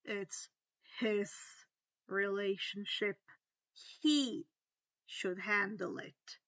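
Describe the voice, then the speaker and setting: A woman's voice: mid-range voice, drawn-out words, fairly bright tone, lo-fi sound. A woman voicing a stern, irritable male teacher with a growling, scornful manner in a story reading. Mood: sneering. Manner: growling, clipped disdain.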